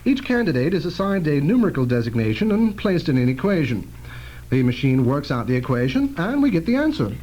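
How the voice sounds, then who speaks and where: A man playing an earnest, cautious inventor in an amateur radio drama, explaining things with measured emphasis. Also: a little high, sing-song, darkish tone, highs cut off. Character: earnest scientist inventor, cautious and proud of machine.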